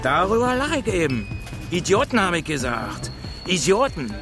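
A man's voice: high voice, huge pitch swings, moderate pace. An actor playing a bitterly mocking Berlin working man in a radio drama, with a local accent and a sneering, colloquial delivery. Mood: scornful. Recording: music behind the dialogue.

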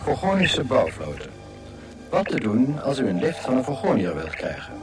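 An actor playing the dry narrator of a galactic guidebook, delivering scripted comic radio narration with measured, deadpan irony. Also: male voice, medium pitch, over loud music.

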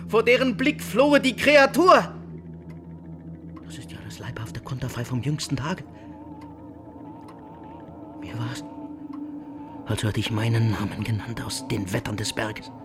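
A man playing a guilt-tormented villainous nobleman losing his mind in a German radio drama, feverish and erratic, moving between hushed trembling and frantic cries. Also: on the high side. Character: guilt-tormented nobleman villain, terrified, raving, descending into madness. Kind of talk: German radio drama acting, theatrical classical monologue.

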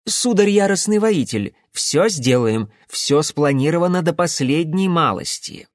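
A male narrator puts on a young merchant's son for an audiobook. He is pitched well above the reader's natural voice, anxious and servile, yet puffed up with boastful self-importance. The sound is close and dry. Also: lively intonation, a little slow, dry recording. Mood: self-important, fawning. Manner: fawning, pompous, self-important. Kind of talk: audiobook character voice.

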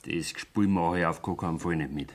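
An actor playing a stubborn, bitter young farm son with a Bavarian accent in a dialect radio drama, defiant and curt. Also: male voice, deep voice, fairly quick, very noisy, lightly acted. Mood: indignant.

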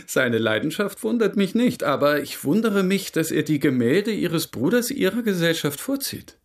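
An actor playing a courteous, inquisitive gentleman suitor in a German radio drama, formal and gently probing. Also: on the high side, very animated, unhurried. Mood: wry concern.

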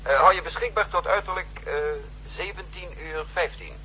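A man's voice: high voice, normal speed, pushed and bright, low-quality recording. A man playing a commanding counter-espionage chief in 1960s radio drama, curt and authoritative. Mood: brisk, commanding. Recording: old radio recording with faint hum.

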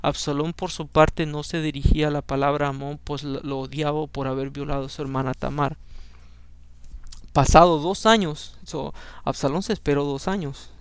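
A man's voice: a little high, fairly even intonation, moderate pace, clean tone, no echo. A man whose first language is Spanish, hosting a Bible reading podcast. He reads scripture and adds personal commentary in a conversational way, often ending with a questioning "no?". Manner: conversational, keeps adding a questioning "no?"; frequent fillers and restarts.

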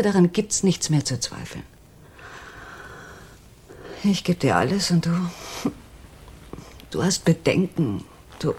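A determined woman in her thirties, lover and partner in crime in a radio thriller. Her voice is husky and a little low. She speaks with intimate intensity and very animated intonation. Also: full character voice. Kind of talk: German radio crime drama acting.

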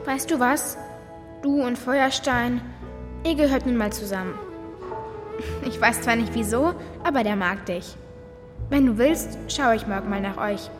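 Woman as a high-voiced, headstrong young girl. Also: a little slow, long pauses, music in the background, close to an everyday voice. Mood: gentle. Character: headstrong, defensive animal-loving young girl. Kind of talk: German audio drama acting.

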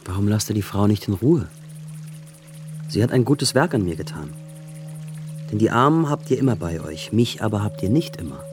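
A man playing a calm, earnest religious teacher addressing his disciples solemnly and deliberately in a radio drama. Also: on the low side, music in the background. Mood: calm, reproving.

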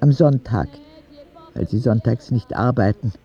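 A man's voice: mid-range voice, animated, measured pace, warm tone, muffled recording. A man playing a frank, cheeky ten-year-old boy who tells his story in candid, wry audio drama narration, in standard German.

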